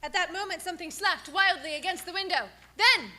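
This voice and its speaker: A woman playing a tense, haunted young wife, giving measured, suspenseful diary narration in a radio drama. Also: very high voice, background noise. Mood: alarmed.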